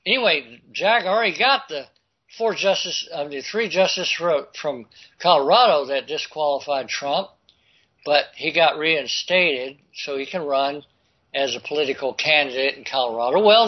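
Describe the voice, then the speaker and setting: Guy reading articles out and riffing on them, kinda stumbly, slightly high voice, muffled lo-fi sound. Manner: halting and stumbling over words, with frequent filler. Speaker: political commentary host. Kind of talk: reading news articles aloud with off-the-cuff asides.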